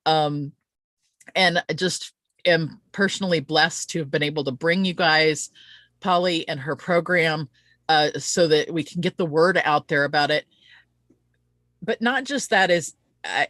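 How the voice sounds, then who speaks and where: A woman's voice: low-pitched, a bit bright, no room sound. A woman hosting a casual promotional live-stream interview, speaking in an earnest, chatty and rambling way. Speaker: host of an online interview show.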